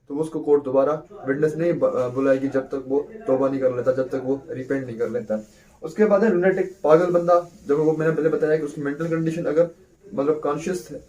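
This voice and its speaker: A man giving a casual law lecture, explaining slowly, restarting phrases and asking rhetorical questions. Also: on the high side, mellow. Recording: voice a little far from the mic.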